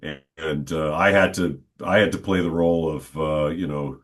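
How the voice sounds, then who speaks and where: A middle-aged man, a stadium announcer, telling stories in a relaxed, good-humored interview. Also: rumbling low, normal speed. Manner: good-humored, reflective, talks at length. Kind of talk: casual storytelling in an interview.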